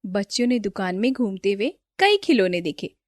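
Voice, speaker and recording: A woman's voice: medium pitch, big pitch swings, moderate pace, a little mellow, no echo, studio quality. A woman storyteller reading a scripted children's moral story as narrator.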